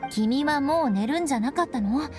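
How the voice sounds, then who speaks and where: A proud young lady knight speaks in a high voice with expressive turns of pitch. She is cool, composed and aloof, in anime game style, with music clearly behind her. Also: brisk. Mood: haughty. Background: background music.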